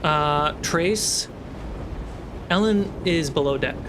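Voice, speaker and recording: Guy game master narrating a fantasy session, voice a bit high, noticeable background noise. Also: slow pace. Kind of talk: narrating a tabletop fantasy game among friends. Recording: clear background noise and ambience.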